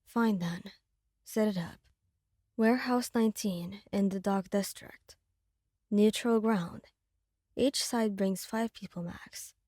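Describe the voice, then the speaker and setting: A woman voicing a cool, self-assured masked mafia boss in an audio roleplay, speaking with calm command. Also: medium pitch, slow pace, long pauses, dry recording, studio quality. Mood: resolved.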